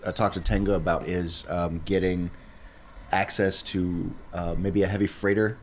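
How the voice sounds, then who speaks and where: Deep-voiced man playing a tabletop game. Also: level tone, normal speed, slight background noise. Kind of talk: casual tabletop game chat.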